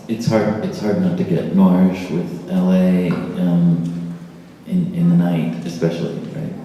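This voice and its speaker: Deep-voiced male moderator, very dark tone, hesitant musing talk, dull distant echoey recording. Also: little pitch movement, a little slow, slight background noise. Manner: musing, loose, hesitant phrasing. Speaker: discussion moderator. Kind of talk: casual conversation. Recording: dull echoey hall from far off.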